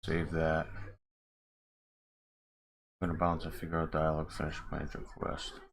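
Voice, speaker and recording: A man's voice: rumbling low, monotone, moderate pace. A man muttering in fragments and thinking aloud, casually swearing as he talks through game modding on a screen recording. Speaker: game modder. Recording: clear background noise, keyboard clicks. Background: computer keyboard typing and clicks.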